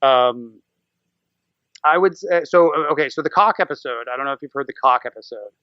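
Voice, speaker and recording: An American man chatting casually as a podcast guest, with an animated, irreverent manner, prone to swearing and acting out little quotes. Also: medium pitch, brisk, clean tone, no echo, highs cut off. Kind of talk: casual interview chat.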